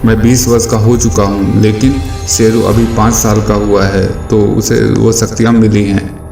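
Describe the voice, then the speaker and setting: Man voicing a low reassuring father lion. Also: level tone, unhurried, gravelly, reverberant, recorded from a distance, music in the background. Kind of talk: animated moral story dialogue.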